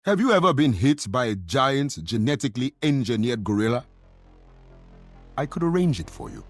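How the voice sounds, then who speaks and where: An actor playing a proud, calm, menacing warlord villain in a video game. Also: male voice, medium pitch, moderate pace. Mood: mocking threat. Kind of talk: dramatic video game voice acting. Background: music.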